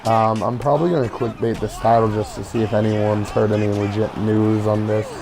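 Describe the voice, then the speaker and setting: A man's voice: on the low side, level tone, brisk, crowd noise, noisy background. A man with a nasal, stuffed-up voice from a cold, rambling casually and profanely as he comments over a video game. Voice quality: nasal, congested. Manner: profane, informal, hesitant rambling. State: has a cold. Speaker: gaming video creator.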